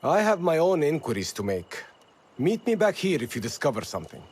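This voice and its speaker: A man's voice: fairly high pitch, very animated, fairly quick, a little mellow, husky. A man acting as a proud, defiant rebel leader in a video game, with a challenging way of speaking. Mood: measured.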